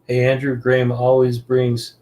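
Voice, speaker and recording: A man's voice: mid-range voice, completely flat delivery, moderate pace, dark tone. Easygoing male podcast host. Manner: easygoing, says "man" often. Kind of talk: casual interview chat.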